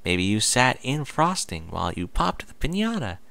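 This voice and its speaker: A man voicing a merry, excitable young female pony in a read-aloud story, with playful, exclaiming delivery. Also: mid-range voice, moderate pace, vocal fry, voice pushed up, heavily acted. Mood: merry, excited.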